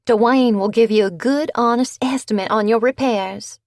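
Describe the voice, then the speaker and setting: A woman's voice: fairly high pitch, moderate pace, darkish tone, dry recording, studio quality, voice pushed up, natural voice. Woman doing a sugary drawling society lady. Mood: gushing. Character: fussy Southern society matron, gushing.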